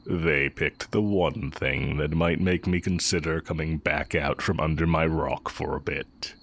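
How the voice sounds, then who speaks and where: An extremely deep, slow-talking heretic sorcerer acted by a man, once an academy teacher. He speaks intimately and straight to the listener, with smug, wry condescension and an undercurrent of affection, as in a fantasy audio roleplay. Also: steady tone, vocal fry, a bit bright, exaggerated voice. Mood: wry, disdainful. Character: exiled heretic dark-magic teacher, smug, fond, villainous.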